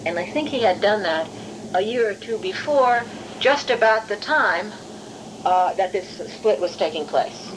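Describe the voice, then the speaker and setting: A woman's voice: mid-range voice, expressive, measured pace, noisy background. A middle-aged woman from Hungary, a non-native English speaker, recounting her academic career in a discursive, careful, self-correcting way as an interviewed professor. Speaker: university professor being interviewed. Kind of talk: oral history interview, recollection.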